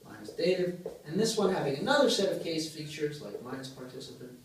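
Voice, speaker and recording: A man's voice: a little high, big pitch swings, brisk, distant mic, background noise. Man lecturing in an echoey hall. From Greece. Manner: explains step by step, asks the class questions. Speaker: linguistics lecturer. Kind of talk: university lecture. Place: large lecture room.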